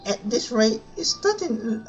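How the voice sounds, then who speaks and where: Girl streaming a game, slow talker but pitch jumps all over, blunt and sweary, dull mic. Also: very animated. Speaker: live streamer playing a game. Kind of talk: casual live-stream game commentary. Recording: muffled stream mic, faint game music.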